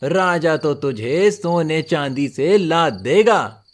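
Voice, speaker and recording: A man's voice: fairly high pitch, lively intonation, slow. A man voicing a greedy, scheming village moneylender in a cartoon fable, coaxing with false friendliness. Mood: sweetly coaxing. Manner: sly, coaxing, honeyed. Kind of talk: Hindi moral cartoon story villain.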